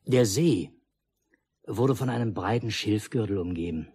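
An actor playing a calm storyteller in a 1950s radio play, narrating a lakeside village tale in measured, literary phrases. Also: male voice, fairly low pitch, breathy edge, no echo. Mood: measured storytelling. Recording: noisy 1950s mono radio recording.

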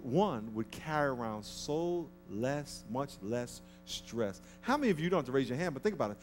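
Animated preacher man on a church stage. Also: fairly high pitch. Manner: animated, acts out petty grudges in a mocking voice. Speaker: pastor. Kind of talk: sermon. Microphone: headset.

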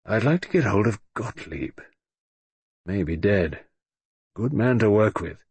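A husky-voiced man playing a frail older man who speaks in halting, reflective fragments. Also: fairly low pitch, expressive, darkish tone, no room sound, heavily acted. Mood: musing.

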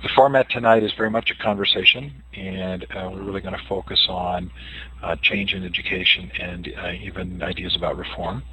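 A man's voice: deep voice, fairly even intonation, brisk, sharp tone, a little hiss or hum, poor audio. A man hosting a conversational interview show, asking long questions with some fillers. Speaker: host. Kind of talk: interview podcast.

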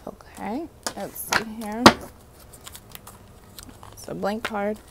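A woman's voice: mid-range voice, steady tone, slow pace, creaky, very noisy. A woman with a Haitian accent giving a casual tarot reading, chatty, often asking "okay?" and calling on the universe as she pulls cards. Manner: chatty, repeats "okay?" and "universe, clarify" while pulling cards. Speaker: tarot reader. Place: indoors at a table covered in card decks.

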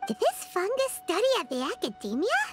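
Very high squeaky voice, tiny childlike fairy girl, flustered, swooping intonation, video game dialogue. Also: huge pitch swings, fairly quick, music in the background, exaggerated voice. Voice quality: squeaky, shrill. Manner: flustered, exclaiming, speaks of self in third person.

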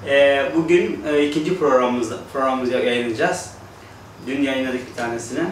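A middle-aged Turkish man with a Turkish accent, hosting a casual interview in halting English with many fillers. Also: medium pitch, slight background noise. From Turkey. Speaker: host, guesthouse owner. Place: living room of a guesthouse, indoors. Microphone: far camera. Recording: echoey room from far off.

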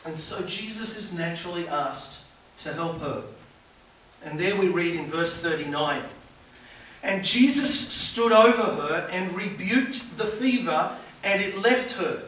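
A man's voice: high-pitched, expressive, measured pace, fairly bright tone. A middle-aged man preaching a sermon to a small congregation, earnest and building emphasis. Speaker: pastor. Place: small church hall with congregation. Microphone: far camera at back of room. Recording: echoey room recorded from far off with faint hiss.

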